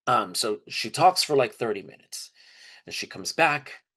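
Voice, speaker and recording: A man's voice: medium pitch, animated, unhurried, pushed and bright, breathy edge. A man telling personal stories in a casual, conversational way, candid and openly emotional. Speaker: trip leader for student service trips.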